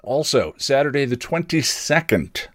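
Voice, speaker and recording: A man's voice: medium pitch, big pitch swings, a little slow, a bit bright, dry recording, clean studio sound. A man hosting a podcast solo, chatting casually through announcements in a rambling, self-deprecating way. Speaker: podcast host.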